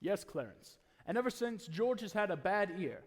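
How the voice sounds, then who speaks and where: A man plays a calm heavenly superintendent in a radio drama. He sounds measured, senior and patient, and his voice carries through an echoey hall. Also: high-pitched. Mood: calm, authoritative. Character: calm authoritative male superintendent of angels. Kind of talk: radio play acting. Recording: echoey live hall taping.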